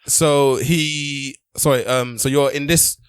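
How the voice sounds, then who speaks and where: Male podcast host, medium pitch, dry studio sound, hesitant conversational delivery. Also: fairly even intonation, takes it slowly, smooth, no room sound. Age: adult, parent of a daughter. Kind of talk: casual group podcast chat.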